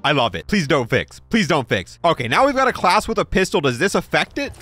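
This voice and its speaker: A man's voice: a little high, very animated, brisk, fairly bright tone. A man giving casual, chatty, opinionated video game commentary, repeating himself for emphasis. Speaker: gaming YouTuber reviewing game content.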